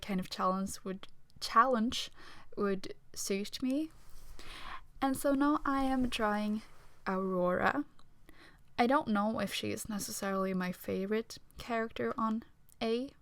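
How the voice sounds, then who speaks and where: A young woman from Sweden with a Swedish accent, talking quietly and self-consciously over her speed drawing, with small nervous laughs. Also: mid-range voice, unhurried, no echo. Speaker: art vlogger. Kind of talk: casual voice-over talk during a speed drawing. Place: home room.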